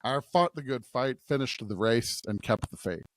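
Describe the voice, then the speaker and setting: A man's voice: mid-range voice, normal speed, dry recording, low-quality recording. Male podcast co-host, mid-range voice, scripture reading and discussion, close dry recording.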